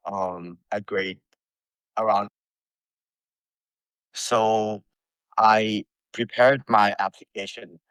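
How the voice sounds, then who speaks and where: A young man from Thailand, a college freshman, answering interview questions carefully with many pauses and ums. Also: lowish voice, a bit bright, dry recording, low-quality recording. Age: young adult.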